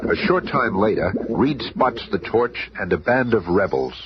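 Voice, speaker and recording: A man's voice: lowish voice, big pitch swings, moderate pace, crowd noise. Dramatic male radio-drama narrator. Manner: dramatic, announcer-like. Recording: dull hissy 1970s radio recording.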